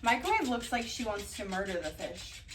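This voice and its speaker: Woman in rambling deadpan storytime, distant mic. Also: mid-range voice, normal speed, over music. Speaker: cat vlogger reading bedtime picture books aloud. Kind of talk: casual ASMR storytime, read-aloud with rambling asides. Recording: distant mic, roomy background noise.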